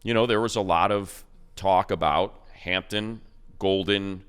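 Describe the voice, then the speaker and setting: Male sportscaster, very low voice, smooth and resonant, relaxed conversational football analysis. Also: unhurried. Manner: conversational, rambling analysis with fillers. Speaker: radio play-by-play announcer. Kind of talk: casual sports talk.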